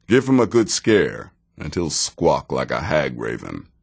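A sardonic veteran assassin from a fantasy game, with a very low voice. He takes his time over each phrase, and his dry sarcasm rides on wide, expressive swings of pitch. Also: vocal fry, slightly rough, exaggerated voice. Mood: wry, advisory. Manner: dry, sarcastic, measured, drawn-out delivery. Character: wry, sardonic veteran male assassin handing out contracts. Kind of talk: fantasy video game character dialogue.

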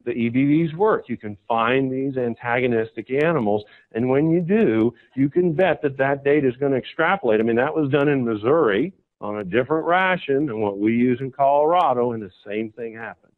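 A man's voice: lively intonation, brisk. American man, folksy and persuasive, presenting at a conference, thin phone-like sound. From United States. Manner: folksy, persuasive, asks "okay?" often. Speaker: conference speaker, cattle breeder. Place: conference hall stage. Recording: tinny, phone-like low-fi recording.

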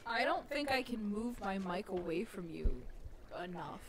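A woman's voice: mid-range voice, expressive, moderate pace. A young woman co-hosting a game stream at home, teasing her partner and reading viewer comments aloud. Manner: teasing, playful, reads chat aloud. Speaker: co-host streamer. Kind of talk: casual livestream banter. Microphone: studio mic through an audio interface, in-ear headphones.

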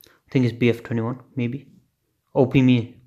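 A man's voice: mid-range voice, little pitch movement, normal speed, warm tone, husky, highs cut off. A man casually unboxing and trying out a gadget, thinking aloud and swearing offhandedly, recorded on a phone at home at night. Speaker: unboxing video host. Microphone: phone camera filming hands on a table.

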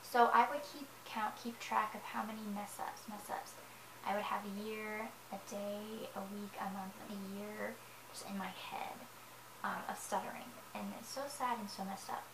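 A young woman with a high, girlish voice, rambling in a personal vlog from her sofa with lots of fillers and self-corrections. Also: level tone, normal speed. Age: young adult. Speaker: vlogger. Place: living room, seated on a sofa. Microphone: camera in front of her. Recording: echoey room with noise.

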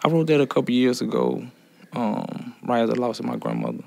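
Earnest man with a gravelly, creaky voice. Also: mid-range voice, huge pitch swings, brisk, no echo. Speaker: prison writing student. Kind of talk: reflective talk and recited poetry. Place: room inside a prison. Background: soft guitar music.

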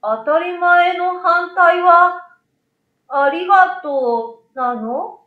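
A woman's voice: very high-pitched, animated, very slow, roomy sound, phone-quality sound, pitched above the performer's own voice, heavily acted. A woman voicing a timid, innocent young schoolboy with hesitant, childlike speech in a storybook reading. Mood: puzzled, wondering.

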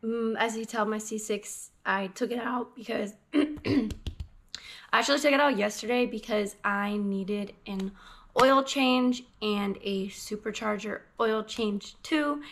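A woman's voice: a little high, creaky, fairly bright tone. A young woman chatting casually in her garage for her car vlog, rambling with lots of fillers, recorded by a camera set on the floor. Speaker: car vlogger. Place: home garage, indoors.

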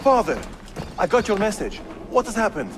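An actor playing an earnest, hot-headed young assassin, a loyal son, in a game. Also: male voice, high-pitched, sing-song, moderate pace, faint music behind. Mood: anxious. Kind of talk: dramatic game acting.

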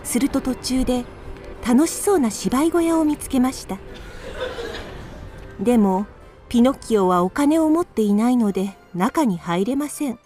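A woman narrating a children's radio drama in a calm, clear storytelling manner. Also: on the high side, normal speed, faint music behind.

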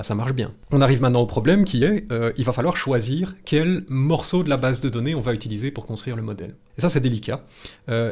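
A man's voice: mid-range voice, darkish tone. Belgian French man teaching informally. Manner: chatty, reassuring, jokey, casually blunt. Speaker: statistics teacher on a livestream. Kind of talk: informal live tutorial, explaining step by step. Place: small home room, indoors.

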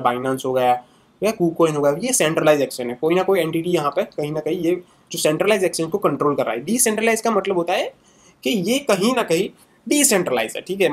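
A young man with a fairly high voice talks loosely through an analysis in an indoor room. He sits at a webcam and keeps tagging his sentences with quick fillers as he walks through charts. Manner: conversational, fillers and tags after many sentences. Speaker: crypto channel host. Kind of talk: casual screen-share market analysis.

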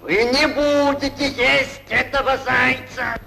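A man's voice: very high-pitched, fairly even intonation, slow, vocal fry, exaggerated voice. An actor playing a sly, domineering male boa constrictor boss who barks commands in an old cartoon. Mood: commanding. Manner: commanding, snaps "Эп!" orders. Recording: old 1940s film soundtrack with music.

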